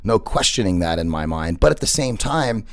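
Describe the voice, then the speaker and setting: Male radio host, big gravelly voice, casual and rambling, dry studio sound. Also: lowish voice, big pitch swings, moderate pace, dry recording. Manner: rambling, repeats words and fillers. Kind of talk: casual interview chat.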